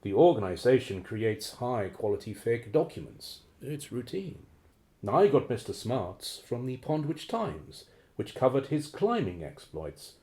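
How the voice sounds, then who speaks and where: A man's voice: mid-range voice, big pitch swings, measured pace, warm tone. An actor playing a formal, hesitant, uneasy man, a secretive operative who has turned on his organization, in a radio drama. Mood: matter-of-fact. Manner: hesitant, formal, well-spoken.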